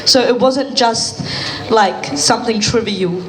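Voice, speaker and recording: A woman's voice: on the high side, takes it slowly, a bit bright. A young woman speaking earnestly as a panelist, with filler words. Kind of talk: personal reflection at a panel. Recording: echoey hall, faint noise.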